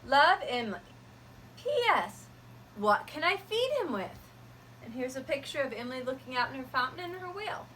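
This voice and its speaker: Animated, bright-voiced woman teacher. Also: sits high, normal speed, a little hiss or hum. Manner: gentle, expressive storybook reading. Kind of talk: reading a picture book aloud to students.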